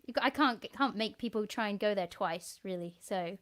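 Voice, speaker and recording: A woman's voice: mid-range voice, moderate pace. A woman musician chatting casually on a home live stream over a video call, rambling and giggling. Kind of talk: casual chat.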